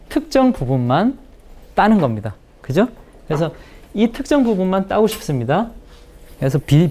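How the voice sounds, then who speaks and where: Mellow-voiced man teaching software. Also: a little high. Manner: explanatory, repeats phrases as he demonstrates. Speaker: video editing instructor. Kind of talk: software tutorial lecture. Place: indoor lecture room in front of a large screen. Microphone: lapel mic.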